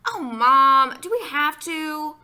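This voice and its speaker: Woman playing grade-school boy, exasperated, complaining, emphatic, forceful, raised pitch, storybook. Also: sing-song, normal speed, exaggerated voice.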